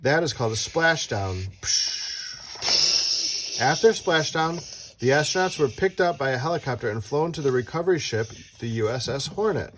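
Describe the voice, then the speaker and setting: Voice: medium pitch, lively intonation, normal speed, heavy background noise. Playful read-aloud host for kids. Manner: playful, asks the child questions. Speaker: host reading a picture book aloud to a child. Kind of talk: children's read-aloud with casual asides.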